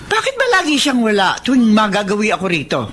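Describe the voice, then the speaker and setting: A woman's voice: medium pitch, wildly swooping pitch, fast talker, a bit bright, slight background noise. A Filipino woman playing a blunt, doting grandmother, sarcastic and probing, in a radio drama. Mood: suspicious, scornful. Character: blunt, doting older grandmother, suspicious of daughter-in-law.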